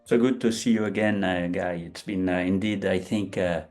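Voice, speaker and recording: A man's voice: deep voice, steady tone, normal speed, darkish tone, soft background music. A man in his fifties with a French accent, a guest in an interview who talks with frequent hesitations and restarts. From France. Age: 50s. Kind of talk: conversational interview.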